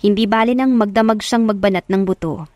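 A woman narrates a love story from a script. Her pitch is medium and the sound is dry and close, with no room around it. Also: fairly quick, darkish tone, dry recording.

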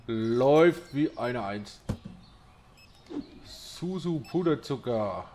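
Man, German accent, slow unhurried delivery, mid pitch, short clipped remarks, faint noise behind. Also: expressive. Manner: reads prices aloud in short clipped phrases. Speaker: gameplay commentator. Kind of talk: casual let's-play commentary.

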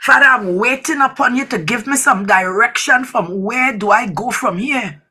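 Woman, fervent prayer leader; forceful, husky voice, pleading delivery. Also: a little high, normal speed. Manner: pleading, repeatedly invoking God. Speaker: person leading prayer.

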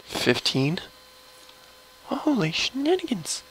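A young man with a low voice, rambling casually through gameplay commentary at his computer. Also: huge pitch swings, fairly bright tone, slight background noise, poor audio. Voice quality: low, gravelly voice. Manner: slow, mumbly and rambling, trailing off. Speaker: gamer streaming a mining session.

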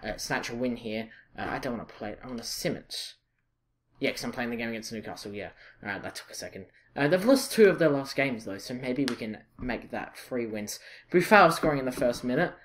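A young Scottish man giving casual, excitable commentary over a football video game, chatty and full of fillers and self-corrections. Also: mid-range voice, slight background noise. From Scotland. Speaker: gaming channel host.

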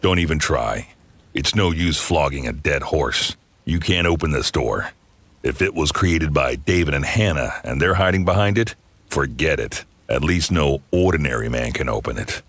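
An actor playing a gruff, world-weary workman in a video game, grumbling bitterly and helping only grudgingly. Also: extremely low pitch, a bit bright, full character voice. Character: bitter, gruff laid-off male workman, down on his luck.

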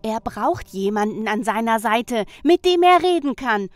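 Lady playing a caring but bossy sun goddess, high voice, really slow, audio drama. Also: animated, dry recording. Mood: indignant. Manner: earnest, reproachful. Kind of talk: German audio drama acting.

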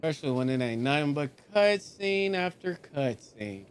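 A man's voice: fairly high pitch, expressive, moderate pace, clean tone. A man with an American accent giving casual let's play reactions as a gaming streamer, blunt and slangy.

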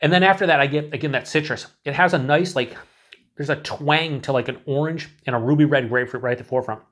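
A man's voice: fairly high pitch, fast talker, fairly bright tone. A man in his forties, chatting casually to camera as he reviews a beer, thinking aloud with lots of fillers and restarts, in a small indoor room.

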